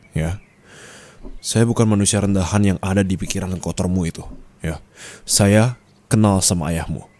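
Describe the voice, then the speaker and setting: Deep-voiced teasing boss played by a man. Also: dark tone, a little hiss or hum. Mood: stern, reproachful. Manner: commanding, teasing, drawn-out questioning tags. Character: strict, teasing male office boss, possessive and flirty. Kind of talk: intimate ASMR roleplay monologue to listener.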